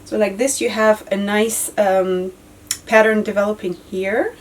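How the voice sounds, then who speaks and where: A woman's voice: mid-range voice, steady tone, measured pace, a little hiss or hum. Chatty woman demonstrating head wraps, mic a little distant. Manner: chatty, repeats words, frequent fillers. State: losing her voice, occasional cough. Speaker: head-wrap tutorial host. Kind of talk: casual step-by-step tutorial. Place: indoor studio with floral backdrop.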